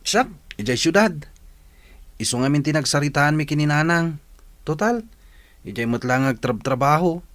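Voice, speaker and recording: A man's voice: a little high, lively intonation, normal speed, long pauses, a bit bright, no room sound. A man with an Ilocano accent playing a practical, encouraging young cousin in a radio drama. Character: practical, encouraging young male cousin urging city studies.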